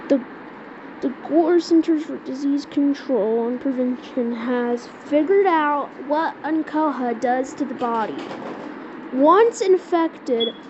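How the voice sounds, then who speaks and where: High-pitched woman, halting delivery, repeated words, amateur skit, dull audio with clear background noise. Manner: halting, repeats words while searching for phrasing. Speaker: amateur creator voicing a homemade emergency scenario. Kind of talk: improvised amateur skit and mock emergency announcement.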